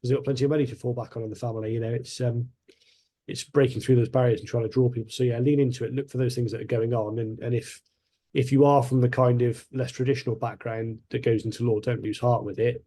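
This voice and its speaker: Male panellist, warm low-key tone, hesitant and rambling delivery. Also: fairly even intonation, fast. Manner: rambling, hesitant, many restarts. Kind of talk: panel discussion.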